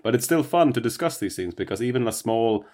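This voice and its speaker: A man's voice: mid-range voice, animated, a little fast, darkish tone. A man co-hosting a podcast, chatting casually and with enthusiasm, often laughing. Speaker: podcast co-host. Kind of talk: casual interview chat.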